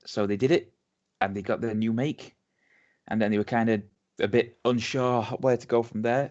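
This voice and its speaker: A man's voice: medium pitch, a little mellow. A man with an English accent, co-hosting a podcast and telling a distillery's story in a rambling, chatty way. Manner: chatty, rambling with many ums and restarts. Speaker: podcast co-host. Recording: dull lo-fi audio.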